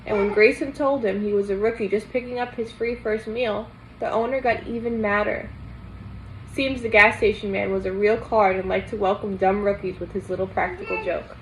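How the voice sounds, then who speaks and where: A woman's voice: medium pitch, fairly even intonation, moderate pace, rough recording. A woman reading a children's novel aloud as a guest storyteller. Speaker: guest reader. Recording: clear background noise.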